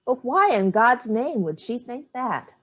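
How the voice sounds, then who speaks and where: A woman's voice: a little high, big pitch swings, measured pace. Older mother played by an actress: female, guarded, dryly sardonic, clipped delivery, stage drama reading. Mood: exasperated disbelief.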